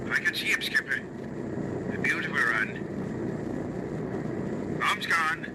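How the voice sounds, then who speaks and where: High-pitched airman over loud engine roar. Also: lively intonation, slow pace, heavy background noise. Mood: urgent. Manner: terse radio calls. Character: tense male bomber crewman calling over aircraft radio. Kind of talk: wartime radio drama acting. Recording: loud aircraft engine roar, old recording.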